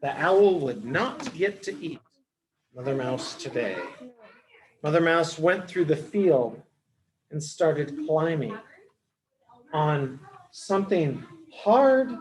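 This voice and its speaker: Slow-paced man reading to young children. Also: fairly high pitch, a little mellow, poor audio. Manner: simple, explanatory phrasing with deliberate pauses. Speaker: children's storybook reader, early education teacher. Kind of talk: read-aloud storytelling for young children.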